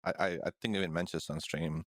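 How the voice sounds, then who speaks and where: A man hosting a casual podcast, easygoing, chuckling and a bit halting. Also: deep voice, steady tone, no echo, clean studio sound. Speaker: podcast host. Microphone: studio microphone.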